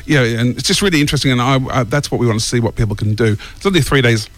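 A man's voice: medium pitch, expressive, racing, dry recording. An Australian man chatting casually as a radio interview guest, with a wry, self-mocking way of talking. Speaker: interview guest, creator of an audio comedy drama.

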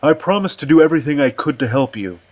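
A man's voice: fairly high pitch, animated, quick pace, darkish tone, muffled recording, voice pushed up, lightly acted. A man voicing a big, curt male department chairman in a story, formal and dismissive. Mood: defensive.

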